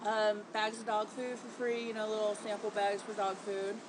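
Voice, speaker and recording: A woman co-hosting a casual podcast, congested and stuffy from a cold, chatty and quick to laugh. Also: medium pitch, little pitch movement. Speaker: podcast co-host, groomer. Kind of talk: casual chat. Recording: noisy home recording.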